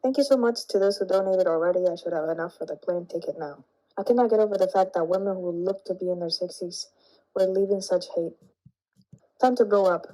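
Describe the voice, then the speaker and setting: A congested woman with a warm, mellow voice speaking in a casual vlog. She is blunt and dismissive, brushing off her critics. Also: on the low side, a little fast, low-quality recording.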